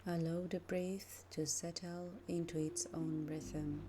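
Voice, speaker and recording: Very slow woman guiding meditation. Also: on the low side, little pitch movement, noisy background. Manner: gentle, measured, with long pauses between short phrases. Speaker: meditation guide. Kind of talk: slow guided meditation narration. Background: music.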